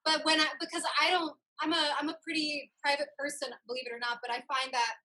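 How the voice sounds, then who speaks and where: A woman interview host with a high, bright voice. She speaks in a casual, reflective conversational style, feeling her way through each thought and rephrasing as she goes. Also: flat delivery, fast, poor audio.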